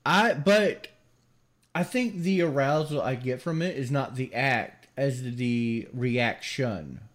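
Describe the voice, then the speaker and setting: A man's voice: mid-range voice, animated, slow. A man with a Southern US accent, co-hosting a podcast in casual chat and often laughing as he talks. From American South. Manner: joking, laughs while talking. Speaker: podcast co-host.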